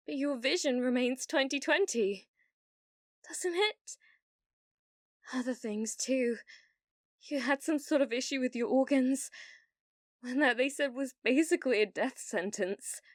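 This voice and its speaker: A delusional young British gamer girl, acted by a woman for an ASMR roleplay. Her voice is fairly high and very close and dry. She speaks slowly, with a needy, obsessive sweetness that carries menace. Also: no echo, lightly acted. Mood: needy, awed. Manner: breathy giggles, slow dreamy delivery with sudden intense bursts. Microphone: close studio-style mic, dry.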